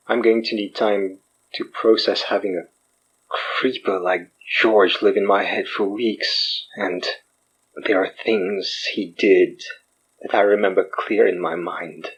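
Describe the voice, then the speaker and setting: Husky, wry man. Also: on the low side, steady tone, a little slow, muffled recording. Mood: shaken, earnest. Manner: wry, joking, rambling. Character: wry, self-deprecating man, recently freed from possession, fake guru healer. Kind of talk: naturalistic audio drama acting.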